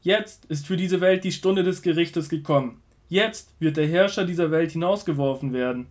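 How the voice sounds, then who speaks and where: A man playing a solemn, earnest male prophet and teacher in an audio drama of the Gospel, speaking in measured declarations. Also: fairly high pitch, natural voice. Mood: solemn, urgent. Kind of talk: audio drama Bible dialogue.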